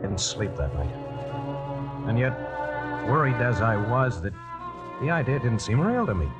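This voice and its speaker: A man's voice: mid-range voice, big pitch swings, unhurried. An American actor playing a meek, love-struck, nervous man who confesses and stammers in an old-time radio suspense drama. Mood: brooding confession. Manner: hesitant, stammering in dialogue, confessional when narrating. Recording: dull vintage radio recording, dramatic music.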